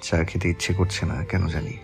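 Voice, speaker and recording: Man acting deep-voiced, self-assured young politician. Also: level tone, moderate pace, vocal fry, a little mellow, soft background music. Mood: tender. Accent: Bangladeshi. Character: young male politician, tender husband, coolly menacing to rivals. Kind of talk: romantic audio story acting.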